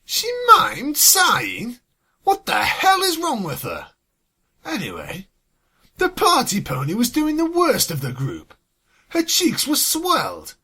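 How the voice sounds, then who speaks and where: A story reader with a husky voice, sardonic and exasperated, swinging between narration and sweary asides. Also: medium pitch, wildly swooping pitch, measured pace, pushed and bright. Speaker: fan fiction reader and commentator. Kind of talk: scripted story reading with sarcastic asides.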